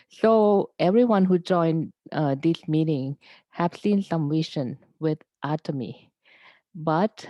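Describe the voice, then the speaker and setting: A woman speaks slowly and earnestly, hunting for words as she presents her plans. Her voice sits low and is recorded dry and close, with the dull, lo-fi sound of a video call. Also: warm tone, dry recording. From Thailand. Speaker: meeting presenter.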